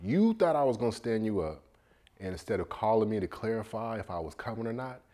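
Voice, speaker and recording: A man acts out an earnest, put-out suitor in an online comedy sketch. His American voice is husky and swings widely in pitch. He questions everything with incredulity. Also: very animated, brisk, dark tone. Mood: incredulous. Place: furnished indoor room, filmed sketch.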